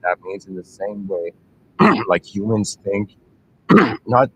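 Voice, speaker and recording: A man in his thirties with a deep, low-pitched voice muses aloud in a searching, earnest way. He often restarts his sentences and clears his throat, and keeps checking that his listeners follow. A faint vehicle rumble hums behind him. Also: slow, fairly bright tone, low-quality recording. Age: 30s. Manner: rambling, searching, many fillers and restarts; earnest, addresses listeners directly. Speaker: channel host. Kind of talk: reflective philosophical monologue.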